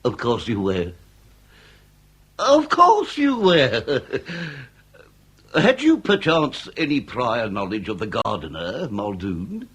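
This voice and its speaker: A Victorian police inspector with a slightly husky voice. His speech is florid and ceremonious, swinging widely in pitch with dry irony, in the style of comic period radio drama. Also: huge pitch swings, slow, a bit bright, heavily acted. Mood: suave probing. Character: wry, courteous Victorian police inspector, male.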